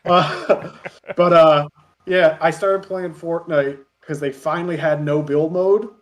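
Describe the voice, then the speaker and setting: A man chatting casually as a podcast guest, telling anecdotes in an animated, halting way and often chuckling. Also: a little high. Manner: animated storytelling, frequent chuckling, halting self-corrections. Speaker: podcast guest, parody web series creator.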